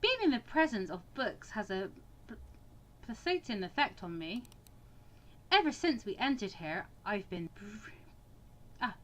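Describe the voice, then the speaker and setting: An introspective student girl from a visual novel, read by a woman. Her voice sits fairly high and swings widely in pitch. She is bookish, anxious and dryly sarcastic, expressive with her inner thoughts. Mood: thoughtful. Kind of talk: dramatic reading of visual novel monologue.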